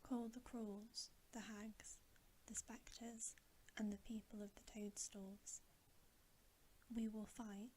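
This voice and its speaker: A woman's voice: medium pitch, flat delivery, slow pace, a little mellow, a little hiss or hum, natural voice, low-quality recording. A woman voicing a cold, scornful, commanding witch queen in a whispered storybook reading.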